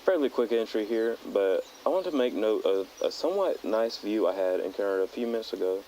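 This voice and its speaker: A man playing a thoughtful teenage hiker who speaks haltingly and earnestly into a personal audio diary. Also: on the low side, expressive, dark tone, natural voice, low-quality recording. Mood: calm, reflective. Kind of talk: found-footage audio log monologue. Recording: outdoor tape log with nature noise.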